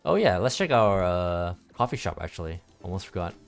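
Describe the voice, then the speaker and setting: A man streaming a game with casual commentary, thinking aloud in a halting, rambling way and repeating his phrases, with game music behind him. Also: low voice, big pitch swings, creaky, clear, resonant tone.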